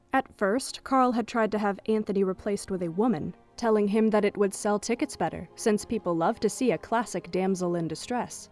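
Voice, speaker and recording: A woman's voice: mid-range voice, a little mellow. Woman narrating an audio drama over music.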